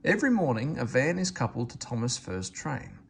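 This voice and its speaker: Guy reading a kids storybook out loud, really lively pitch, bit gravelly, faint noise behind. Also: moderate pace. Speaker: storybook reader. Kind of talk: expressive children's read-aloud narration.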